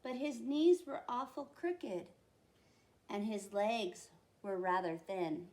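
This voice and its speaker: A woman reading a children's picture book aloud at a library storytime, with expressive, deliberate phrasing for young listeners. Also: medium pitch.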